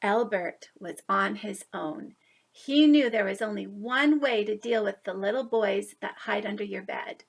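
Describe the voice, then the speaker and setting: A woman reading a picture book aloud to young children, expressive, with drawn-out emphasis on key words. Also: medium pitch, creaky. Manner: animated, drawn-out emphasis, asides to listeners. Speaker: children's story reader.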